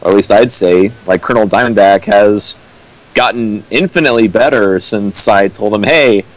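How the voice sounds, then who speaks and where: A man's voice: lowish voice, moderate pace, low-quality recording. A young man giving casual comedic commentary, dry and wry, as a co-riffer on a reading.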